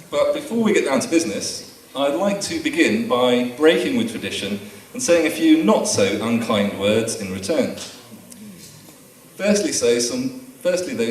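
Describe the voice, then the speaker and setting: Man, best man, dry teasing wedding speech, taped far off with faint noise. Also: medium pitch. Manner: dry, teasing humour read from a prepared speech. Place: wedding reception room. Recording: echoey room taped from far off.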